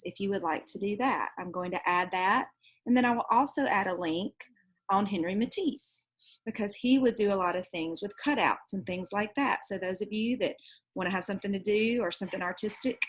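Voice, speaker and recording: A woman's voice: mid-range voice, fairly bright tone. A woman teacher talking casually to her students, explaining as she goes and correcting herself along the way. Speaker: teacher reading aloud to students. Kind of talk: casual instructional talk to students.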